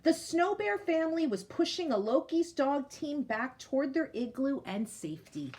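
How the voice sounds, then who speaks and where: A woman's voice: high voice, very animated, moderate pace, a little mellow. A woman reading a picture book aloud to children, as a teacher. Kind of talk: storybook read-aloud.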